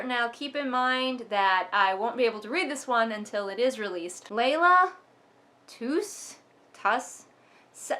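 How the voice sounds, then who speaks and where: A woman's voice: a little high, sharp tone. A woman hosting a book vlog, chatting casually to viewers and reading aloud with wry, sardonic asides. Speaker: book commentary vlogger and host.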